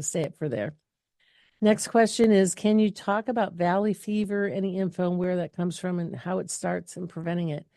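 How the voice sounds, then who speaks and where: Low, mellow-voiced woman host chatting. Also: dry recording, clean studio sound. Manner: chatty, rambling with many fillers and restarts. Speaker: health clinic host answering viewer questions. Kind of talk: casual live Q&A.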